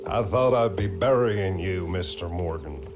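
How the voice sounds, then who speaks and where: An actor playing a weary, kindly, solemn frontier reverend in a video game. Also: male voice, sits low, unhurried, over music. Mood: gentle, relieved. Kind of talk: dramatic video game cutscene acting.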